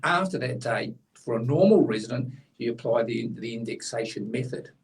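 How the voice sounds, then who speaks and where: A man's voice: medium pitch, lively intonation, normal speed, recorded from a distance, poor audio. A man, blunt and opinionated, explaining a tax rule in casual chat as co-host, a little away from the mic.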